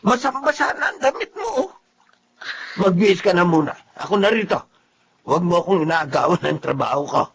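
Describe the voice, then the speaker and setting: A man's voice: sits high, sing-song, normal speed, gravelly, fairly bright tone, a little hiss or hum, full character voice. A man playing a fussy but kindly middle-aged family driver in a Filipino radio drama, exclamatory and fondly scolding, with a Filipino accent. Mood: alarmed, fond scolding. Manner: exclamatory, scolding yet fond.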